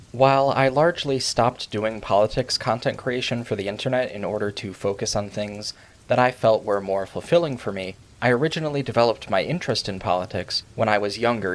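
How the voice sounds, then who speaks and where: A man narrating a scripted video essay as its host, in a measured, formal, literary way. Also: on the low side.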